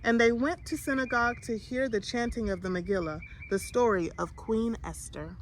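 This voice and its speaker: A woman reading a children's storybook aloud in an expressive way. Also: on the high side, moderate pace, a little hiss or hum, poor audio. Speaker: storybook reader.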